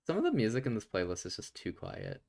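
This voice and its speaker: Soft-spoken young man streaming. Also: huge pitch swings, fast, creaky. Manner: quiet, low reading voice that breaks into loud laughing chatter. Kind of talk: casual stream chat and reading a game's story aloud.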